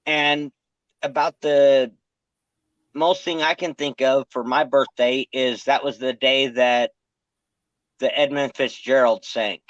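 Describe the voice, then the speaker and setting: A man's voice: medium pitch, fairly even intonation, slow, no room sound, muffled recording. A man in his forties chatting casually and laughing, often restarting his sentences. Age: 40s. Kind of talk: casual chat.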